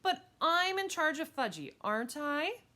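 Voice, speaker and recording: A woman's voice: sits high, sing-song, measured pace, sharp tone, pitched above the performer's own voice, heavily acted. A woman voicing a bossy, eager, cocksure young girl in a children's audiobook, with a pushy manner. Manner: pushy, pleading, sing-song teasing.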